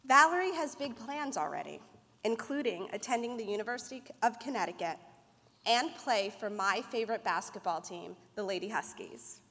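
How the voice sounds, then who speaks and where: A woman's voice: on the high side, animated, moderate pace, sharp tone, a little hiss or hum. A young woman from the United States giving an earnest, prepared speech as a mentor presenting an award. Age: young adult. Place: banquet hall at an awards dinner. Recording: echoey banquet hall.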